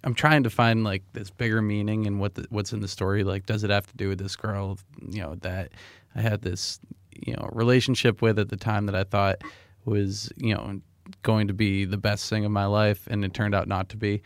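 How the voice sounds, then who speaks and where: A man's voice: on the low side, little pitch movement, moderate pace, dry recording. A man hosting a podcast, chatting casually and personally, a little hesitant as he thinks aloud. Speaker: podcast host. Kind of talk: casual, personal interview chat.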